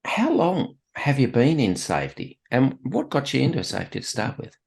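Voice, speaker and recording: A man hosting an interview podcast, upbeat with brisk questions. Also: medium pitch, sing-song, vocal fry, darkish tone. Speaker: podcast host.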